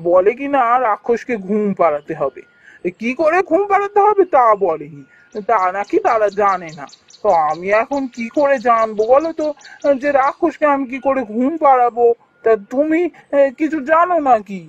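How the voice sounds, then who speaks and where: Young fairy-tale prince: high-pitched, courteous, earnest, for animation. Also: male voice, very animated, normal speed, husky, no echo, lo-fi sound, full character voice. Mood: chatty, perplexed. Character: earnest, polite young prince posing as villager.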